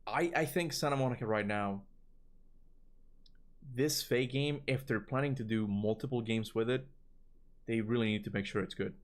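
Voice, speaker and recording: A man with a Cuban accent chatting casually on a livestream, rambling and animated, answering chat and swearing now and then. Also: mid-range voice, moderate pace, long pauses. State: tired voice from long talking. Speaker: gaming streamer answering chat.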